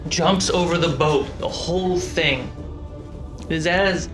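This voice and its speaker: A game master runs a tabletop fantasy adventure. The voice sits at a middle pitch. A loud cinematic score plays behind it. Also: slow pace, music in the background.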